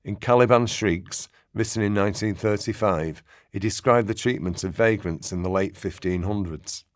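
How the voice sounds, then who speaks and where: A man's voice: lowish voice, moderate pace, dry recording, clean studio sound. A man narrating a scripted, documentary-style radio play.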